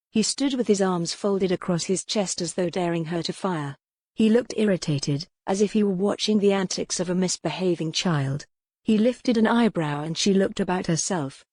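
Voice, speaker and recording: A woman's voice: mid-range voice, a little mellow, no room sound. A woman reading a historical romance novel aloud as a dramatic audiobook narrator.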